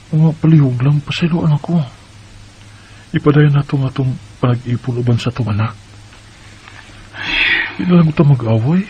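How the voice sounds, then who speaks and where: A man's voice: mid-range voice, lightly acted. A man with a Bisaya Filipino accent playing a married man placating his girlfriend in a radio drama. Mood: pleading, placating. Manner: persuasive, placating. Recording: dull lo-fi sound, faint background noise.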